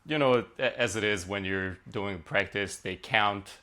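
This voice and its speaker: Hungarian-born man, low voice, chatty. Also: unhurried, sharp tone. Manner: conversational with frequent uh and like fillers. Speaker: software engineer guest. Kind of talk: podcast interview, relaxed storytelling.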